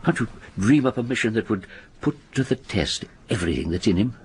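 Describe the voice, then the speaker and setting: An actor in a radio drama playing an earnest psychologist, reasoned and gently pleading in manner. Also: male voice, lowish voice, animated, fairly quick, heavy background noise. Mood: earnest, persuasive. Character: earnest male psychologist, persuasive and measured.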